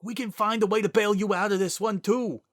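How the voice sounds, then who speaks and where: A man's voice: sits high, fairly even intonation, fairly quick, dry recording, studio quality, pitched above the performer's own voice, natural voice. A man voicing a slangy, wisecracking character worn down by grief and desperation in a dramatic story reading. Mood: desperate, pleading. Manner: slangy, informal, emotionally raw.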